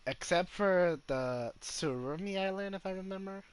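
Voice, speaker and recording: A man's voice: high-pitched, moderate pace, smooth. A man giving casual gameplay commentary, rambling and thinking aloud as he plays. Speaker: gaming streamer. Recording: noisy mic with game sound.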